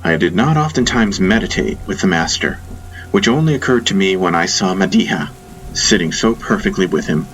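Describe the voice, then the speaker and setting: A man narrating a first-person fantasy audiobook in a solemn, measured, reflective manner. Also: low-pitched, expressive, fairly bright tone, soft background music, rough recording.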